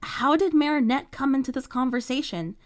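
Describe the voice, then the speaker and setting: A woman voicing a patient female teacher who speaks in a calm, measured, gently firm way. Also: high-pitched, soft background music, pitched above the performer's own voice, close to an everyday voice. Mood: stern. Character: patient adult female teacher, stern but kind.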